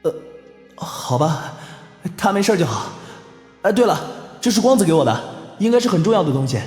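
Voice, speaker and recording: High-voiced, expressive young hero. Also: male voice, moderate pace, breathy edge, exaggerated voice. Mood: earnest. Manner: expressive, reactive, earnest. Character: naive, kind-hearted young male wandering hero, easily flustered. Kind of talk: animated audio drama acting. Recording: background music under the voice.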